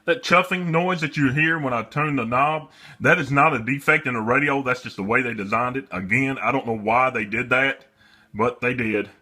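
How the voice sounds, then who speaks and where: A man's voice: mid-range voice, moderate pace, pushed and bright. A man giving a casual, plain-spoken and somewhat hesitant demonstration of a product. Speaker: presenter demonstrating a radio for sale.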